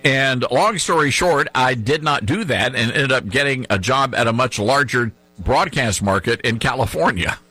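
A man with a mild Tennessee twang hosting a talk radio show, chatting in an animated, folksy way. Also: mid-range voice, moderate pace, few pauses, husky, dry recording. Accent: Southern US, Tennessee. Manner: animated, folksy, laughs easily. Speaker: radio host.

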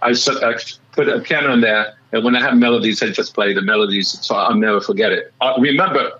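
A man with a slightly raspy voice, a musician chatting excitedly in an interview and often restarting his sentences. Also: fairly low pitch, fast, dull audio. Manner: excitable, rambling with many restarts. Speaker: musician guest. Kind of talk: casual interview chat.

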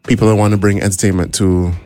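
A man from Trinidad with a Trinidadian accent, a band leader answering radio questions in a relaxed, halting way. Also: lowish voice, faint music behind. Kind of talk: casual radio interview.

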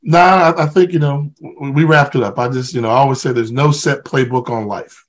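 A man's voice: medium pitch, steady tone, brisk. A middle-aged man, a former football player, talking reflectively as a podcast guest, easygoing with lots of "you know". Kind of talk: casual interview chat.

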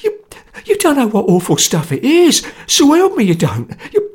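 Panicky stammering coward, squeaky and whining, swoops high and low, gravelly edge, as a reader puts him on. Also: male voice, sing-song, a little slow, heavily acted. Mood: panicked. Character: terrified cowardly male anarchist, whining.

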